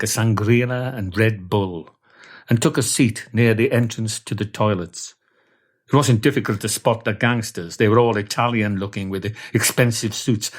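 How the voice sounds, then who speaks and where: A Welsh man telling a comic first-person story in a deadpan, wordy way. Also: fairly low pitch.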